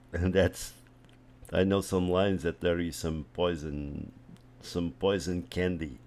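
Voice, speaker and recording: A man born in Portugal whose first language is Portuguese, casually commenting on his own chess game as he streams it, thinking aloud and trailing off into quiet asides. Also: low-pitched, flat delivery, takes it slowly.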